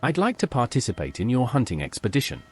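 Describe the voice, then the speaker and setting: A synthetic male voice with a husky edge reads player lines in a plain, matter-of-fact way. His pitch swings widely and sometimes oddly between phrases. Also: huge pitch swings, fast, darkish tone. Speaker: player character. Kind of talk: AI-voiced game dialogue.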